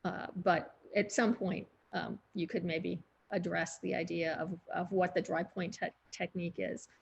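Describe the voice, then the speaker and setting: A woman's voice: lowish voice, flat delivery, a little slow, creaky, breathy edge, dull audio. A woman co-hosting a museum talk over a video call, explaining art techniques in a chatty, thinking-aloud way. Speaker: museum co-host. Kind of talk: conversational art discussion.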